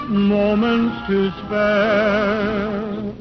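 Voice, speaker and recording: A woman's voice: medium pitch, level tone, drawn-out words. A woman singing a 1930s popular song. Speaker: singer. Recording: dull old 1930s recording. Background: loud music.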